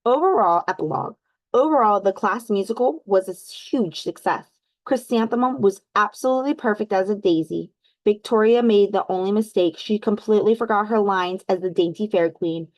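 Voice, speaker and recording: Lady doing a kids read-aloud, expressive, mid pitch, close dry recording. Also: no echo. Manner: expressive, deliberate, addresses listeners as friends. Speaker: read-aloud host for children. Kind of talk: storybook read-aloud with questions to young listeners.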